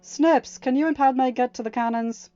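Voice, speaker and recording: A woman voicing a confident, commanding male Jedi pilot, speaking firmly and loudly. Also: sits high, normal speed, dry recording, dull audio, faint music behind, voice pushed up, close to an everyday voice.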